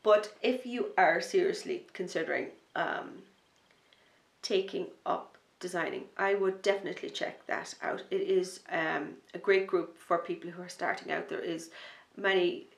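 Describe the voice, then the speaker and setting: A woman hosting a knitting podcast, chatting in a rambling, enthusiastic way, a little sniffly from a starting cold, sometimes dropping to a soft near-whisper. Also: lowish voice, normal speed, vocal fry, roomy sound. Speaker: knitting podcast host and designer. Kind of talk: casual chat to camera.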